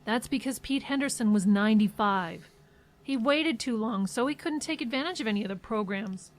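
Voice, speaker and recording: An actress playing a worried, patient adult daughter in an audio drama, earnest and gently insistent. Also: female voice, a little high, lively intonation, moderate pace, lightly acted. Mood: concerned.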